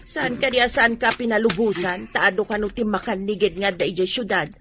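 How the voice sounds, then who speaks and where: A woman's voice: medium pitch, brisk, over music, lightly acted. An actress in a radio drama playing a worried, disapproving middle-aged rural mother with a Filipino accent. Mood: worried, scolding.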